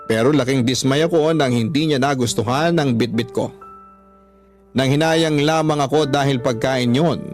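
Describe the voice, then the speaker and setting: A male storyteller with a medium-pitched voice reads a first-person letter as scripted radio drama narration. Faint music runs underneath his reading.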